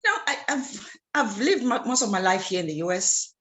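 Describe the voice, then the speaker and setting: A woman from Zimbabwe, an interview guest who answers earnestly and often pauses on fillers and restarts. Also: mid-range voice, lively intonation, a bit bright. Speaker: guest, clean water advocate.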